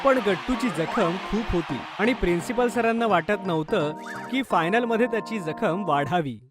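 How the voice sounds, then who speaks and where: A man with a notably high-pitched voice narrates a scripted story for children. Music plays clearly behind his storytelling. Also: expressive, normal speed.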